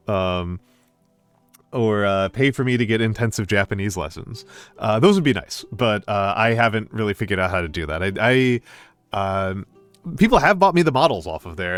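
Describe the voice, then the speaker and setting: A middle-aged American man chatting casually with stream viewers, pausing often with fillers and restarts. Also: mid-range voice, fairly bright tone, no echo. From United States. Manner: hesitant, many fillers and restarts. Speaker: VTuber streamer.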